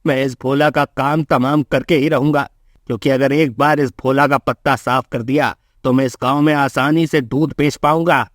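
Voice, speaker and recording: A man's voice: medium pitch, steady tone, moderate pace, no echo, clean studio sound, close to an everyday voice. A man voicing a greedy, boastful, scheming milk seller in a cartoon moral story, with a gloating manner. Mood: bitter, plotting.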